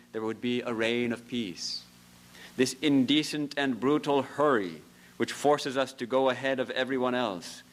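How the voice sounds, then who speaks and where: A man, a monk of a religious order, giving a lecture in a temple, telling stories with soft laughs and earnest, repeated phrases. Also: medium pitch, smooth. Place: temple hall.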